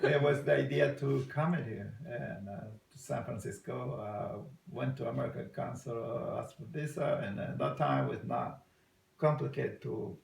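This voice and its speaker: Salvadoran guy in his 60s, gravelly voice, hesitant english with lots of uhs, echoey room. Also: medium pitch, a little mellow, slight background noise. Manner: halting, many uh fillers, non-native English. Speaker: interviewee. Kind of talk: casual family interview.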